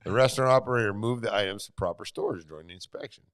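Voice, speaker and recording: A man hosting a casual studio chat, telling stories with lively acted-out quotes. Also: fairly low pitch, very fast. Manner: animated storyteller, acts out quotes. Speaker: host.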